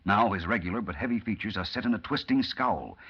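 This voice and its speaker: A man's voice: fairly low pitch, animated, a little fast, a bit bright, breathy edge. A man giving formal, suspenseful scripted narration in the style of a 1940s radio serial. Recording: muffled old-time radio recording.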